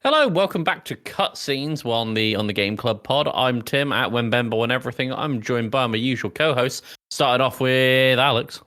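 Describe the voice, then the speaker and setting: A man's voice: medium pitch, animated, moderate pace, hardly any pauses, sharp tone. A man hosting a casual film discussion podcast in a chatty, jokey way. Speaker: podcast host.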